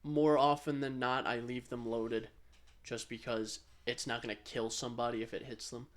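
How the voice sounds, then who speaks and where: A man's voice: medium pitch, level tone, normal speed. American man in casual podcast chat. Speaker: podcast co-host.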